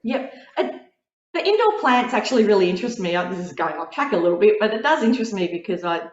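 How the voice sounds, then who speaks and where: A woman's voice: mid-range voice, sing-song, fast. A woman hosting a casual video interview, chatty, laughing often and restarting her sentences. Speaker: podcast host. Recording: dull lo-fi sound in a roomy space.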